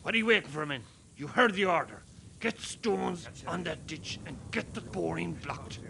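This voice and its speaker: An actor with an Irish accent playing a brisk, bossy rebel section leader in a radio play. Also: male voice, a little high, animated, gravelly, noisy background. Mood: urging, bossy.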